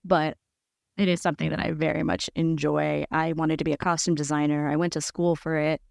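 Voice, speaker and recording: Squeaky, animated woman guest, low-ish speaking voice, dry close sound. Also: fairly quick, creaky, dry recording. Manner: animated, jumps high in pitch when quoting herself. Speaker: podcast guest. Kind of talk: casual chat.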